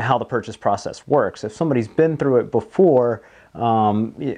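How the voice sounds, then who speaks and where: A man's voice: lowish voice, mellow. A man in his thirties speaking as a broker being interviewed, explaining in a conversational way in a small office. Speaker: marine broker, interview guest. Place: small office meeting room, indoors.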